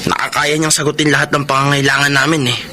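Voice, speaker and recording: An actor playing a brash, hot-tempered young brother who drives a tricycle and gambles, in a Filipino radio drama. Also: male voice, a little high, little pitch movement, fast talker, natural voice. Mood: cocky, carefree. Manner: cocky, emphatic. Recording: noisy radio drama audio.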